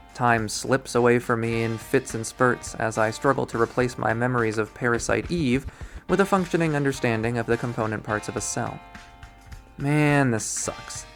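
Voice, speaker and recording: Male game readthrough narrator, mid-pitched, reading scripted prose with wry drama over background music. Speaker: visual novel readthrough player and narrator.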